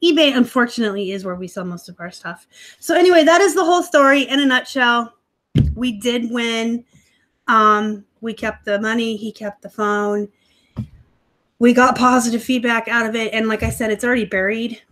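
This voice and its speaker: A woman in her thirties talks into a laptop at home during a live stream, chatting loosely with viewers. Her voice sits a little high. She sounds snarky and laughs often. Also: moderate pace, fairly bright tone. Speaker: livestream host, online reseller. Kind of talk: casual live-stream chat reading comments.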